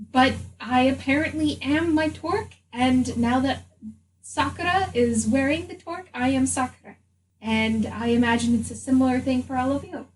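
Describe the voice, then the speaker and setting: A woman speaking in character as a player in a tabletop roleplaying game, explaining things in a measured way with pauses. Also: high voice. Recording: distant mic, faint room noise.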